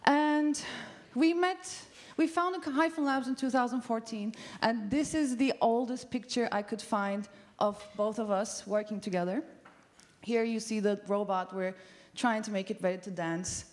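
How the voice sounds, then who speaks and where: A woman's voice: a little high, measured pace. A woman in her thirties from Turkey giving a conference talk on stage, speaking casually with frequent ums and uhs. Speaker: studio co-founder giving a festival talk. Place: large festival venue stage.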